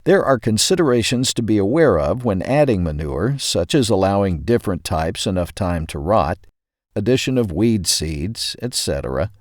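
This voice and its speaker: Low-voiced man narrating, lively intonation. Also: moderate pace, no echo, studio quality. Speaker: narrator of a magazine audio article. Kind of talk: scripted narration.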